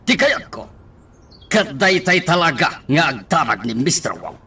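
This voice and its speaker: A hot-tempered boss with a very sharp, high voice, giving orders in a radio drama. He sounds slightly off the mic, with music faintly behind. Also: big pitch swings, measured pace, husky, far from the mic, exaggerated voice. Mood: commanding. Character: hot-tempered, commanding male boss plotting retaliation.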